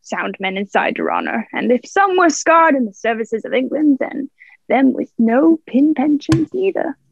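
A young woman playing a genial, flattering old one-legged sea cook in a radio play, with folksy, deferential sailor talk. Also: high voice, normal speed, vocal fry, breathy edge, no echo, muffled recording, exaggerated voice. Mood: deferential, persuasive.

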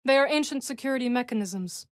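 An actress voicing a composed, dry-witted young witch warrior in a video game, speaking in measured, formal phrases. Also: fairly high pitch, animated, no echo, natural voice. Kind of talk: video game character dialogue.

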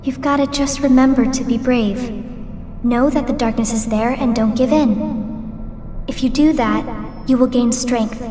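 A woman playing a gentle, encouraging teenage girl in a video game cutscene. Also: fairly high pitch, fairly even intonation, measured pace, close to an everyday voice. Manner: soft, encouraging. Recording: echoey, dreamlike reverb with music.

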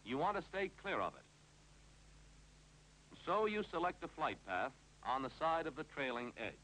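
A man's voice: fairly high pitch, big pitch swings, normal speed, pushed and bright. An American man reading scripted instructional narration for a 1950s training film, with a clipped, authoritative delivery. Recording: noisy 1950s film soundtrack with hum.

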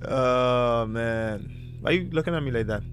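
A man's voice: medium pitch, measured pace. A young man hosting an online prank channel from his bedroom, talking casually and playfully into a studio mic. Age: young adult. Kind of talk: casual video chat and announcements to viewers. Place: small bedroom. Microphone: boom-arm studio mic and headphones. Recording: music behind the voice. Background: music.

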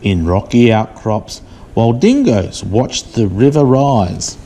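Very low, mellow woman reading a picture book. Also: lively intonation, husky. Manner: measured storybook phrasing, asking simple questions. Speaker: parent reading aloud to a small child. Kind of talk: picture-book reading mixed with casual chat to a child. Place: living room indoors. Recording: room noise behind a home camera recording.

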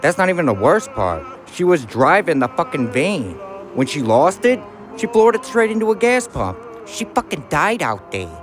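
An actor playing a laid-back mobster sidekick in a comedic crime audio drama, talking in casual slang. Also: male voice, a little high, big pitch swings, normal speed, faint music behind. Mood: gossipy. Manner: casual, foul-mouthed banter.

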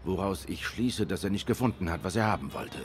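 Man as hardboiled cat detective, low-pitched voice, brooding, restrained, measured, noir video game. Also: a little hiss or hum, lightly acted. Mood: earnest, restrained. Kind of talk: noir game dialogue acting.

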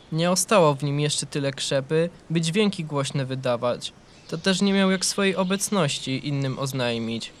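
A man reading a scripted children's story as narrator, in an expressive literary storytelling manner. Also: on the high side, slight background noise.

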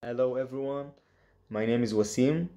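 A young man in his twenties, a university student from northern Israel whose first language is Arabic, recording a halting, earnest greeting on a webcam in a small room. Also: medium pitch, fairly even intonation, warm tone. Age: 20s. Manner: halting, earnest, with fillers and restarts. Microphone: webcam on a video call.

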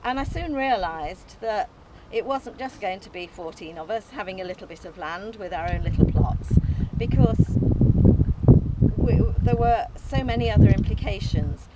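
A woman's voice: mid-range voice, expressive, moderate pace, very noisy. Older woman giving an outdoor speech. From England. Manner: earnest and modest, with small hesitations and light laughs. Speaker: allotment group founder giving an opening speech. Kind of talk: prepared speech read from notes. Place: outdoors on an open field site. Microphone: camera at a distance, no mic.

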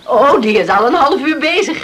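A woman's voice: sits high, lively intonation, normal speed, noisy background. A woman playing a caring farm mother of grown sons in a radio play, motherly and fussing. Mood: cheerful, caring. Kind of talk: Dutch radio play acting.

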